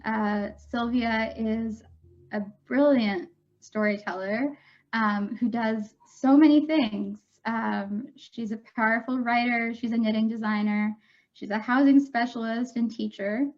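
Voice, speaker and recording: A woman hosting a panel, introducing the next guest slowly and with many fillers. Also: fairly high pitch, muffled recording. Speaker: panel host.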